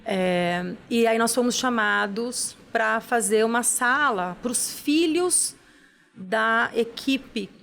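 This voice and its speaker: A woman sits in a TV studio and explains her charity's work as a guest. Her voice is fairly high, and she talks eagerly, stringing sentences together with little tag questions. A faint noise floor runs under her. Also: slow, creaky, a bit bright. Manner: enthusiastic, explains at length with many "né?" tags. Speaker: executive director of a charity, guest. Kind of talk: casual interview talk.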